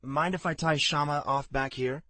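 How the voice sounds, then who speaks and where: A man voicing a plain, straightforward middle-aged father who speaks in a measured way. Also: fairly high pitch, steady tone, pushed and bright, no room sound, pitched above the performer's own voice. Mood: firm.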